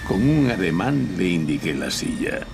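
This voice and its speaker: Self-important lawyer narrator: low, creaky, deadpan delivery with lively inflection. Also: male voice, moderate pace, background noise, full character voice. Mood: dry, narrating. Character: dry, self-important male lawyer narrating his case. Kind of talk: noir-parody audio drama narration.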